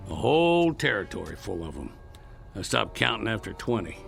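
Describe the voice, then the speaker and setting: An actor playing a hard, defiant Old West outlaw in a radio drama, with an American Western drawl and plain frontier talk. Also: male voice, fairly low pitch, sing-song, normal speed, gravelly, fairly bright tone, faint music behind, heavily acted. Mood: bitter, defiant.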